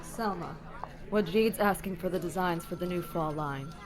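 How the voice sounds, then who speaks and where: A woman's voice: lowish voice, normal speed, crowd noise, lightly acted. A woman playing an impatient, brusque female vice president in a scripted audio roleplay.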